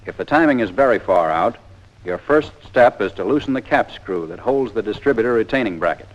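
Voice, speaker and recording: A man with a fairly low voice and an American accent delivers scripted instructional narration. He is matter-of-fact and methodical, in the manner of a 1940s military training film narrator, heard on a period film soundtrack. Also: lively intonation, brisk, highs cut off.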